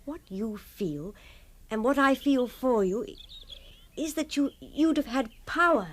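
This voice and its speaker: An actress playing a devoted, perceptive gentlewoman, frank and steadfast, with measured literary phrasing in a ghost-story drama. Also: sits high, animated, slight background noise. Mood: tender, knowing.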